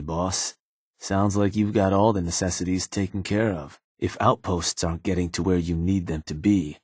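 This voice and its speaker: A man playing a gruff, shrewd raider strategist in a video game, with a husky voice and a laid-back, businesslike, colloquial delivery in clipped phrases. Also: sits low, darkish tone, no echo, clean studio sound. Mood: casual advising. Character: gruff, shrewd male raider strategist advising his gang boss. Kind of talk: video game character dialogue.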